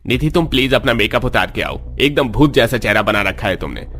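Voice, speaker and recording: A man's voice: medium pitch, animated, brisk, a bit bright. A man playing a blunt, critical young husband in a cartoon story. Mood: disgusted.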